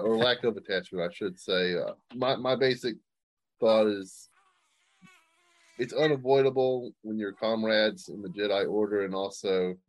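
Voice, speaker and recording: A man's voice: sits low, dry recording. A man co-hosting a casual podcast over an online call, rambling and tentative. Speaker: podcast co-host.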